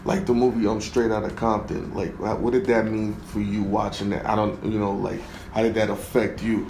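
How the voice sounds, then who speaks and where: A man's voice: fairly low pitch, level tone, fairly quick, gravelly, darkish tone, reverberant, slight background noise. A man hosting an interview, asking easygoing questions full of fillers. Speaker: interview host.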